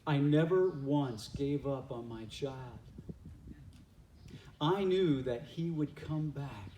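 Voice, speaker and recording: A man playing a loving, forgiving father who longs for his son in a church reader's theater skit, speaking deliberately and with heartfelt emphasis. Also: mid-range voice, expressive, warm tone. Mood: aching, steadfast. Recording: echoey room, distant mic, noisy.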